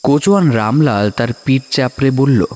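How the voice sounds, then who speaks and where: A man narrating a horror story in a measured, dramatic, suspenseful manner. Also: medium pitch, animated, a little mellow.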